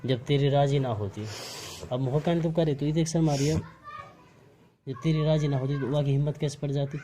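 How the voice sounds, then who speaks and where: A man's voice: on the high side, fairly even intonation, moderate pace, dark tone, a little hiss or hum. A man with a Mewati accent argues reproachfully in a private phone conversation, asking one pointed question after another. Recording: amateur phone call recording.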